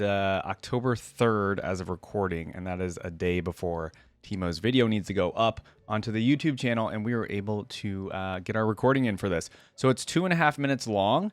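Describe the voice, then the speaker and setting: A man's voice: on the low side, dry recording. A man giving enthusiastic, informal reaction commentary as the host of a fan channel.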